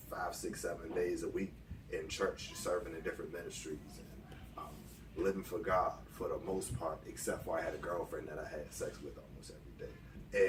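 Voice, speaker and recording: An American man in his late twenties telling personal stories in a casual, candid, colloquial way as an interview guest. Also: deep voice. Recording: echoey room, distant mic, background noise.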